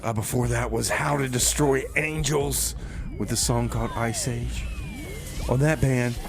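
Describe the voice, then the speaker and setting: A man's voice: mid-range voice, fairly even intonation, a little slow. A man hosting a community radio music show with casual, loose, informal DJ patter.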